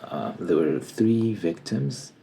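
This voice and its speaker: A man playing a stern, formal TV news anchor in an ASMR roleplay, speaking softly and half-whispered with a stiff, stammering interviewer manner. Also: low voice, very animated, takes it slowly, vocal fry, noisy background, heavily acted. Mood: formal, probing. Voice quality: soft-spoken, half-whispered.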